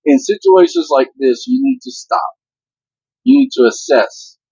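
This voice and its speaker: A man's voice: extremely high pitch, wildly swooping pitch, unhurried, creaky, darkish tone, slightly rough, dry recording, highs cut off. An older man who drives a truck, chatting casually and giving matter-of-fact safety advice. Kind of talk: casual vlog chat.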